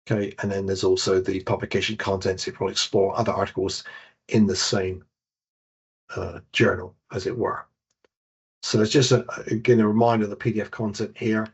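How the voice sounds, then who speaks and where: A man with a British accent leading a software demonstration webinar as a database trainer. He explains each step while he clicks through the screens and corrects himself now and then. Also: on the low side, breathy edge. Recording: dull lo-fi webinar audio.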